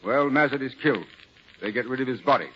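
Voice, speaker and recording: A man's voice: medium pitch, fast talker, close to an everyday voice. An actor playing an assured middle-aged lawman in 1940s radio drama, explaining things with wry confidence. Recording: muffled, noisy 1940s radio broadcast recording.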